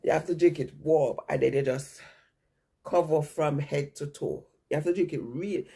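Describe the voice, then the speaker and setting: A woman's voice: deep voice, moderate pace, creaky, dark tone, breathy edge. A woman vlogger chatting casually and ramblingly to her viewers while she has a stuffy nose from a cold. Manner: rambling, chatty, repeats herself.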